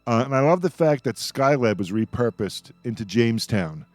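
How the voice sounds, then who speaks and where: A man hosting a radio roundtable, chatting as an enthusiastic fan in an effusive, halting way with repeated words. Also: medium pitch, expressive, darkish tone, dry recording.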